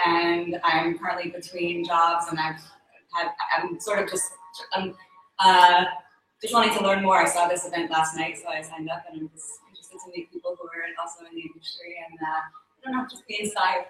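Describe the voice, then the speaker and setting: A woman from New York introduces herself haltingly, filling the gaps with ums. Her voice sits slightly low. She is far from the mic in a very echoey room. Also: fairly even intonation, a bit bright. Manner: hesitant, many fillers. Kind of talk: self-introduction.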